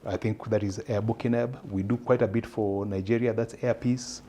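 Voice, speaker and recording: A man with a Kenyan accent explaining policy as an interview guest, measured and halting as he searches for words. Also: on the low side, fairly even intonation, mellow, a little hiss or hum.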